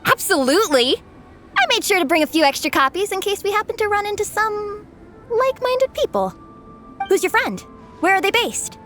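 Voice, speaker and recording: A woman's voice: extremely high pitch, sing-song, normal speed, fairly bright tone, heavily acted. A woman voicing an earnest, eager young fangirl in a video game, gushing and theatrical. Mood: excited. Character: earnest, eager young comic-book fangirl, bubbly club member. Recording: background music under dialogue.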